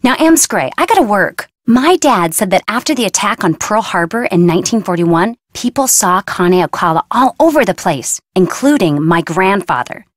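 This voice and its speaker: Casting: a woman to play a young surfer girl for a video game. Blunt, breezy, slangy delivery with lively swings in pitch, recorded dry. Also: moderate pace, hardly any pauses, no echo, lightly acted. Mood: insistent, blunt. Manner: casual, slangy, flippant. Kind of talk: video game voice acting, casual slangy dialogue.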